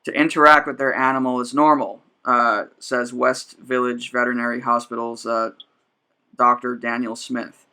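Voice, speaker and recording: Hesitant young man hosting, flat delivery. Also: mid-range voice, normal speed, clean tone. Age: 20s. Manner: hesitant, many fillers. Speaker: podcast host. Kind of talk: casual group chat.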